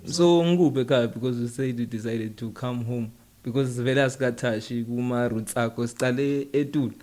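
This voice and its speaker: A man's voice: medium pitch, a little fast, darkish tone. A man with a South African accent interviewing casually as host, switching between languages.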